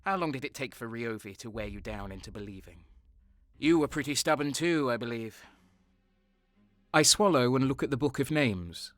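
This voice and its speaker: A man's voice: mid-range voice, expressive, normal speed, a bit bright, dry recording, close to an everyday voice. An actor playing a cynical, cold and sadistic soldier who narrates his crimes in a brooding, sardonic way in an audio drama.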